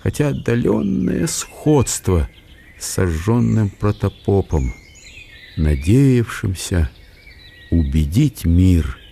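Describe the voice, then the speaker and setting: A man's voice: fairly low pitch, big pitch swings, slow pace, vocal fry, warm tone, slight background noise. A man reading scripted literary narration for a radio drama.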